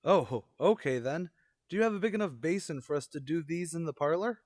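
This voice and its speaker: A man's voice: a little high, lively intonation, brisk, a little mellow, dry recording, studio quality, natural voice. An actor playing an earnest, naive male teacher, easily flustered and literal-minded, in an old-time radio comedy. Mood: cheerfully oblivious.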